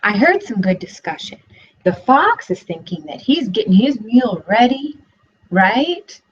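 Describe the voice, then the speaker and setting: A young woman teacher giving a read-aloud lesson for children, asking questions in an expressive way, recorded on a laptop webcam in a living room. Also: on the high side, measured pace, a little hiss or hum. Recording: dull lo-fi webcam audio.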